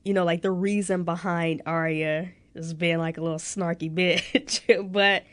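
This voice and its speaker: A young woman with a slightly low voice chats on a podcast, sounding nasal and stuffed up. She laughs often between her lines and talks in a loose, casual way. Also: steady tone, a little slow, a bit bright. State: congested nose. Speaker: podcast co-host. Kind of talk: casual chat.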